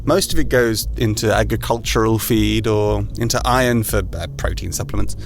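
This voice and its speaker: An actor playing an urbane, condescending vampire overlord in an audio drama, with a theatrical, amused drawl. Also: male voice, lowish voice, normal speed, creaky, faint music behind.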